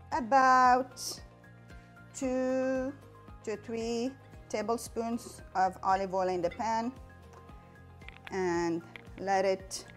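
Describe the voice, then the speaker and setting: A woman in her forties from Morocco, hosting a cooking show and explaining each step as she cooks in a home kitchen on a lapel mic. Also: on the high side. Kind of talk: cooking demonstration.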